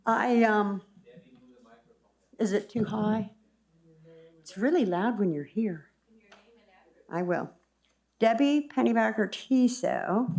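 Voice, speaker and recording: A woman giving deliberate, pointed public comment at a village meeting. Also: a little high, animated, a little mellow.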